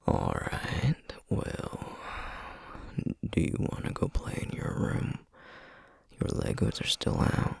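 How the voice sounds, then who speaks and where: A man playing a gentle, burnt-out Southern sorcerer and single dad in an intimate ASMR roleplay, speaking in a husky half-whisper with a Southern US accent. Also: no room sound, clean studio sound, natural voice. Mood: tender, tired. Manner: slow, weary, tender, hesitant. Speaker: audio roleplay voice actor.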